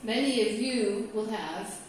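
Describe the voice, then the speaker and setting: A woman's voice: mid-range voice, a little slow, a little hiss or hum. American woman teaching, in a roomy echo. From California. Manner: checks in with the group, chatty and conversational. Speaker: English teacher trainer. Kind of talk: classroom discussion. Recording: roomy echo, mic from a distance.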